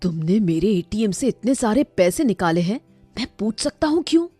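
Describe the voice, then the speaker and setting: A woman's voice: medium pitch, very animated, moderate pace, dark tone, slightly rough, no room sound, soft background music. A woman voicing an exasperated working husband who scolds and lectures in a storybook tale. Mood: scolding. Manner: reproachful, lecturing.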